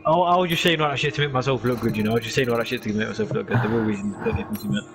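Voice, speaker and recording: A young man chats and teases his mate while playing, rambling and swearing freely. His voice sits at an ordinary middle pitch. Loud music blares behind him the whole time. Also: animated, very fast. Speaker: streamer. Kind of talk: casual banter while gaming.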